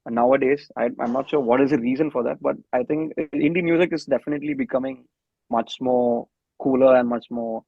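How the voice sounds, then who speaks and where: Indian musician guy, gravelly creaky voice, halting and repeats himself, tinny phone sounding audio. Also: mid-range voice, dry recording. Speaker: band musician, interview guest. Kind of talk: casual interview answers. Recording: thin, dry, phone-like connection.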